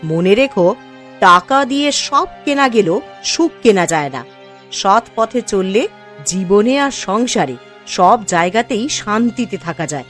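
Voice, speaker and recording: A woman's voice: medium pitch, expressive, measured pace, fairly bright tone, soft background music. A woman voicing a dignified, principled village wife in a cartoon, firm and admonishing. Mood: firm, reproving. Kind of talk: cartoon story dialogue.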